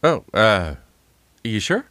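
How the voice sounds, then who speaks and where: A man's voice: fairly low pitch, big pitch swings, takes it slowly, fairly bright tone, no room sound, exaggerated voice. An actor playing an over-eager, theatrical narrator of witch tales in an audio drama. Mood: awkward, hopeful. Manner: grandly dramatic storyteller, turning awkward. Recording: music underneath.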